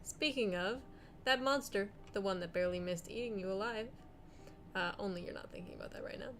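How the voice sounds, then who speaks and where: A giggly woman talks fast and takes charge, reading game dialogue aloud between jokes. She sits at a shared desk microphone in a room at home. Her voice is of middle pitch, with faint music playing behind her. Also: fairly bright tone. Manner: giggly, quick and bossy about the plan. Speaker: co-host of a game playthrough. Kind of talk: casual chat while reading game text aloud.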